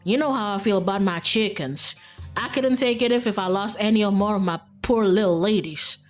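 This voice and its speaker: A woman voicing a gruff, stubborn old rural hunter with a Southern drawl, grumbling in a folksy, threatening way for a game. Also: medium pitch, fairly quick, faint music behind, natural voice. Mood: stubborn, proud.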